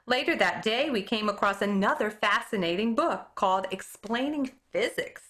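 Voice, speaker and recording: A woman reads a chapter book aloud to her class in a bright, ringing voice. Her pitch swoops up and down freely as she tells the story, and she sometimes trips on a word and fixes it as she goes. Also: moderate pace. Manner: expressive read-aloud, occasional stumbles and self-corrections. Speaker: elementary school teacher reading aloud. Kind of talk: reading a children's book aloud.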